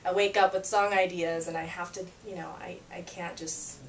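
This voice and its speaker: A woman's voice: on the low side, level tone, moderate pace, a little hiss or hum. A young woman from Peru, a singer-songwriter, chatting in an interview with frequent fillers and laughter. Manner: chatty, laughs often, many fillers and restarts. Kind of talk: casual interview chat. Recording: roomy, distant mic, faint ambience.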